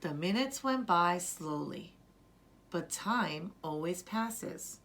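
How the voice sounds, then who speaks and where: A woman narrating a children's story as a storyteller, with deliberate, expressive delivery. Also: fairly low pitch.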